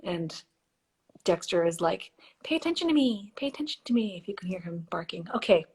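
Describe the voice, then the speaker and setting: A woman hosting a live stream, chatting and giving oracle card readings, her voice a little hoarse. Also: fairly low pitch, lively intonation, brisk, a little hiss or hum. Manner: warm, chatty, reads card texts softly. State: slightly hoarse, losing her voice. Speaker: podcast host and intuitive reader. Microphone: phone or computer mic for a live stream.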